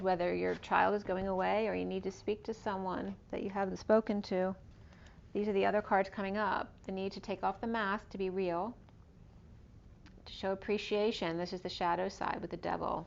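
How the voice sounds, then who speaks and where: A woman's voice: mid-range voice, gravelly, slight background noise. Woman giving a rambling tarot reading. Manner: rambling, lists cards and signs aloud. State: losing her voice. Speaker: tarot reader. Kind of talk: casual astrology tarot reading. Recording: dull lo-fi recording.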